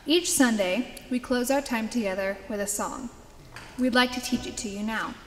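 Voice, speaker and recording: A woman's voice: on the high side, normal speed. A young woman leading a service, making a scripted announcement to a congregation from a church lectern. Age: young adult. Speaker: youth service leader. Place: large church sanctuary, at a lectern. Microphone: lectern microphone. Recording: echoey church hall, faint background noise.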